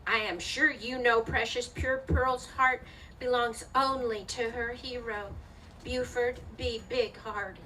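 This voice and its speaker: High-voiced woman playing a prim widowed mother. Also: steady tone, measured pace, close to an everyday voice. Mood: prim rebuke. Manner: theatrical, formal, alliterative. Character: protective widowed farm mother, primly wary. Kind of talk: old-time radio melodrama acting. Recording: distant stage microphone with room noise.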